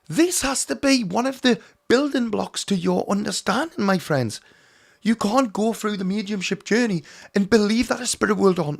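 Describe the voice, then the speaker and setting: Hoarse male spiritual teacher, slightly raised pitch, earnest and emphatic direct address. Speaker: mediumship teacher. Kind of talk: direct-to-camera teaching talk.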